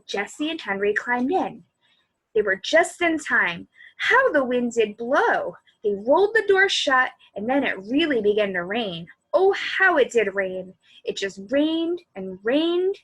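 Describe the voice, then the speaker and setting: Very high-pitched, animated woman reading to children. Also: slightly rough, rough recording. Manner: expressive, addresses young listeners with questions. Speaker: children's librarian reading aloud. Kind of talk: animated storybook read-aloud for children.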